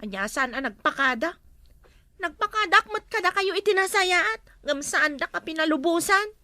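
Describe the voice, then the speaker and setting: A woman with an Ilocano accent complains as a daughter, her voice high and bright. The sound is dry and close. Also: animated, moderate pace, no room sound. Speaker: daughter in a family comedy sketch.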